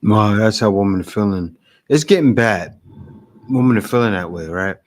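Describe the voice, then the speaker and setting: A man's voice: on the low side, normal speed. A man hosting a reaction video, who speaks in a blunt, lecturing and emphatic way. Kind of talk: opinionated reaction commentary.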